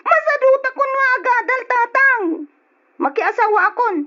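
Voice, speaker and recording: An actress playing a headstrong twenty-year-old daughter in love, in an old radio drama on a dull lo-fi recording. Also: female voice, very high voice, normal speed, bright, forceful tone. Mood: defiant. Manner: pleading, defiant.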